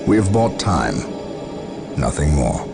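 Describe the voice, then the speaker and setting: A resolute space-soldier commander from a video game, with an extremely low, gravelly voice. He speaks with solemn authority and dramatic inflection. Music runs behind him. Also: very animated, slow, full character voice. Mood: stern command. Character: stern, commanding Space Marine captain, resolute. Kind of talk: video game cutscene acting.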